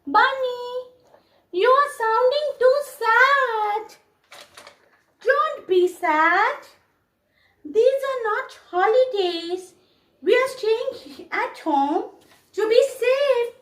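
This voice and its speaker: Lady doing a caring lil puppet girl, super high, slow n teachery, roomy echo. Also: exaggerated voice. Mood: caring, encouraging. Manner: slow, emphatic, coaxing and teacherly. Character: Dora, a caring, instructive young girl puppet. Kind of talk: children's puppet show dialogue. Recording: echoey room.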